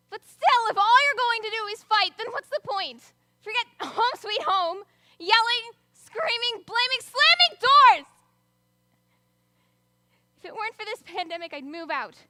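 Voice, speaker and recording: Very high, lively voice; woman as a bitter teen in lockdown, brooding with sudden outbursts. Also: a little slow, pauses often, full character voice. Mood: wry, bitter. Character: teenager stuck at home with fighting parents. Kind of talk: dramatic monologue.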